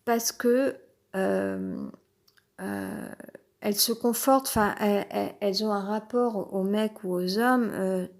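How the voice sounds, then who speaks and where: A woman's voice: mid-range voice, big pitch swings, takes it slowly, a little mellow. Woman with a cold, rambling and hesitant. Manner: rambling, hesitant, many fillers and restarts. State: has a cold, stuffy. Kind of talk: improvised personal monologue. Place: uncomfortable spot with noise overhead.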